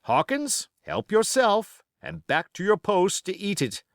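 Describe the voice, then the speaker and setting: A man voicing a brisk, gruff sea captain in an audiobook, barking terse orders. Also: high voice, big pitch swings, no echo, studio quality, voice pushed up, heavily acted. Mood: commanding. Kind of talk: audiobook character acting.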